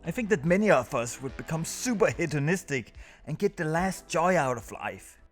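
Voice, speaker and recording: A man's voice: on the high side, very animated, normal speed. A man reading a scripted countdown review as the host of a video. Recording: music under the voice.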